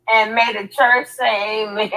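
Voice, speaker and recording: A woman with a hoarse, raspy voice hosting a casual livestream chat. She laughs often and keeps repeating her words. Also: mid-range voice, background noise. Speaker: livestream host.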